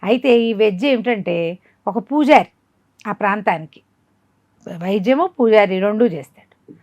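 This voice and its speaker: A woman's voice: medium pitch, unhurried, no echo, dull audio. A woman telling a folk tale conversationally to a listener, acting it out as she goes. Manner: animated retelling, acting out quoted dialogue. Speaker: storyteller.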